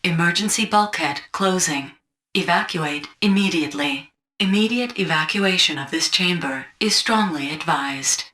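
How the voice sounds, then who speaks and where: A woman voicing a calm automated evacuation system in a video game, giving clipped, impersonal warnings with an echo. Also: fairly low pitch, normal speed. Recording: echoing announcement sound.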